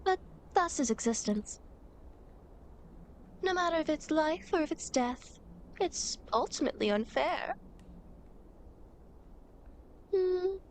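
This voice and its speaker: A woman's voice: on the high side, darkish tone, a little hiss or hum. A woman playing a playful, teasing demon girl who guides dead souls, in a coy, dramatic ASMR roleplay. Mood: grandly matter-of-fact. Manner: coy, mischievous, mock-sympathetic, dramatic. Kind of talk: ASMR roleplay, acted monologue to the listener.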